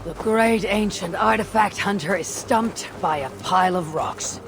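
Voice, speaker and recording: A woman's voice: medium pitch, a little slow, vocal fry, background noise. An actress playing a proud, blunt Viking woman warrior with a Scandinavian accent in a video game cutscene. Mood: scornful, dry. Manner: terse, blunt, dryly scornful.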